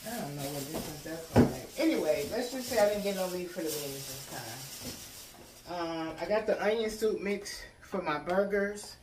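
A woman's voice: on the low side, animated, moderate pace. An American woman vlogger chatting casually to viewers in a folksy, colloquial way as she unpacks. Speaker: vlogger showing a grocery haul. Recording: echoey room, distant mic, clear background noise.

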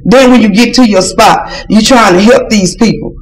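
A woman's voice: medium pitch, normal speed. A woman who drives buses, speaking plainly and earnestly during public comment at a board meeting. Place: large council chamber. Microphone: podium microphone, heard from a far camera. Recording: echoey chamber, background noise.

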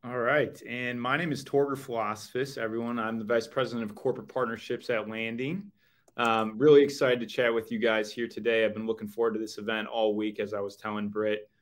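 A man with a Chicago accent introducing himself as a guest on a video-call webinar, friendly and relaxed. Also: mid-range voice, fairly quick. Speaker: company vice president, webinar guest.

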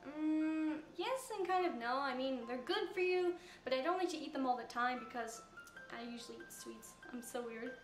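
A teenage girl from Canada with a high-pitched voice, chattily answering fan questions in a casual Q&A. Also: lively intonation, moderate pace, roomy sound, soft background music. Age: teens. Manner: chatty, rambling with fillers. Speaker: YouTuber answering Q&A. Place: room with couch, recorded in a reacted video.

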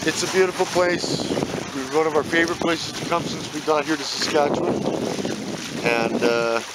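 A man's voice: fairly high pitch, steady tone, normal speed. A man vlogging while fishing on a rocky lakeshore, chatting upbeat to his viewers with lots of fillers. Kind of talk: casual chat to camera. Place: rocky lakeshore outdoors, windy. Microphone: camera mic in strong wind. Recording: loud wind and waves on mic.

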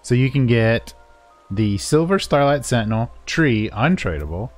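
A man's voice: mid-range voice, expressive, a little slow, soft background music. A man giving a casual, unscripted game walkthrough in a playful, self-deprecating way. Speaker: gaming video creator and guide narrator.

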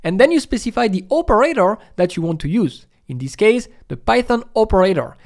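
A man's voice: sits high, very animated, fairly quick. A middle-aged man presenting a programming screencast, explaining each step in an instructional way.

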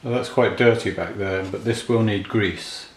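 Male hobbyist repairer, deep-pitched, matter-of-fact, talking through his work in an echoey room. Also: steady tone, moderate pace. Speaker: repair hobbyist demonstrating a restoration. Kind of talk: casual hands-on tutorial commentary, thinking aloud while working. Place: indoors at a repair workbench. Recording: roomy, clear handling clatter and clicks.

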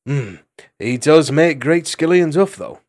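A man voicing a calm, good-humoured male mouse warrior with a mild, amused manner. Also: a little high, unhurried, voice pushed up.

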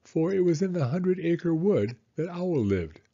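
A man reading a children's story aloud in an expressive, deliberate storytelling manner. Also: fairly high pitch, muffled recording. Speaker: storybook reader.

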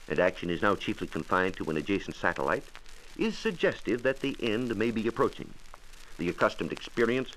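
An American man giving a formal, precise scripted science explanation as a 1940s radio reporter. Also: fairly low pitch. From United States. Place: radio studio. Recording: noisy vintage 1940s radio transcription disc.

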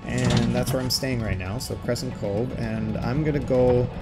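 A man's voice: mid-range voice, moderate pace. A man with a Canadian accent giving a casual, upbeat tour from a moving golf cart outdoors, chatting loosely with plenty of fillers. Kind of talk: casual narrated driving tour. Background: loud music.

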